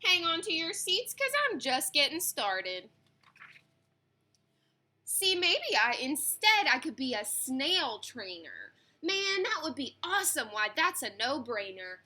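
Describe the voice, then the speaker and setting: Lady reading as eager little storybook boy, eight, bragging in grand rhymes, high bright voice. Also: slow pace, exaggerated voice. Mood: excited, boastful. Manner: excitable, grandly declaiming in rhyme. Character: eager, imaginative, boastful eight-year-old schoolboy in storybook.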